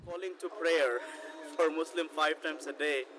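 A man's voice: fairly high pitch, fairly even intonation, normal speed, background noise. A man speaking for a mosque, explaining earnestly in a street interview outside its entrance.

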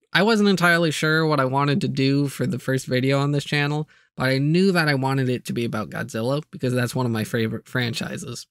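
A man's voice: mid-range voice, fairly bright tone, dry recording, studio quality. A man hosting a video channel, narrating a scripted overview with light, self-aware asides.

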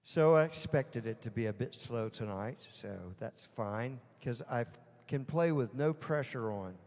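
A man's voice: mid-range voice, animated, unhurried, a little mellow. A middle-aged man with a Southern US accent, chatting casually between songs as host of his livestream. He rambles, chuckles and loses his train of thought. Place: small indoor stage with curtains. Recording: roomy reverb with guitar music behind. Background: live guitar and backing music.

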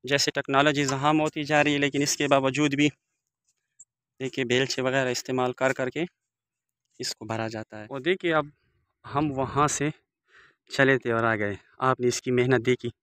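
A man's voice: mid-range voice, steady tone, normal speed, pauses often, fairly bright tone, clean tone, no echo. Man narrating an outdoor phone vlog. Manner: formal, devout phrasing with Arabic greetings and blessings. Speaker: village vlogger. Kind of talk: walking tour commentary. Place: outdoors in open riverbed countryside. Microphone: phone camera held by the speaker.

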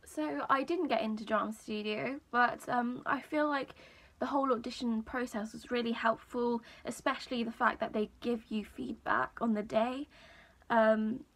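A young woman vlogger with a high register and a croaky voice, chatting casually to camera in a small room, with lots of ums. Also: normal speed. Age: young adult. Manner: chatty, many ums, relaxed storytelling.

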